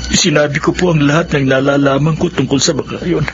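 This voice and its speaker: A man's voice: a little high, steady tone, fairly quick, over music, lightly acted. An actor playing a remorseful, intense young man in a radio drama, respectful to elders yet anguished. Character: troubled, remorseful younger brother burning for revenge. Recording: dull lo-fi radio drama recording.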